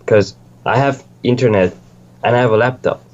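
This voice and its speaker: A man's voice: medium pitch, moderate pace, muffled recording. A young man from Switzerland, a music producer, chatting casually in an interview, rambling and swearing freely with lots of restarts.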